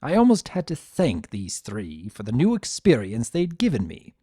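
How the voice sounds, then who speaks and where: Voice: medium pitch, wildly swooping pitch, normal speed, mellow, breathy edge, dry recording, clean studio sound. A narrator playing a sardonic male chaos spirit trapped as a statue, speaking in wry conversational asides in a cartoon pony story.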